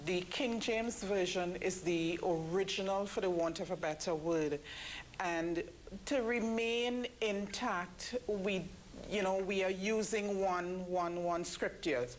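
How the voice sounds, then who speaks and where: A woman giving measured, explanatory answers as an interview guest. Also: lowish voice. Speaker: interview guest, event committee member. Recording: clearly noisy background ambience.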